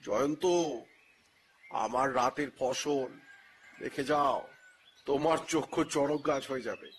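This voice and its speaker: An actor playing a genial, teasing elderly colonel who is an amateur detective in an audio story, with an unhurried, knowing delivery. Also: male voice, mid-range voice, slight background noise, natural voice. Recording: music bed under the voice.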